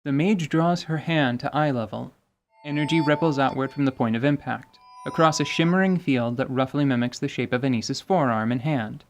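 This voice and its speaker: A woman acting as narrator and game master, reading scripted fantasy narration. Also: rumbling low.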